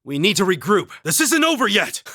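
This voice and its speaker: A man voicing a calm, devoted young academy student warrior in a video game, speaking in a measured, sincere and formal way. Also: sits high, no echo, clean studio sound, exaggerated voice. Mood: determined. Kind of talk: English video game voice acting.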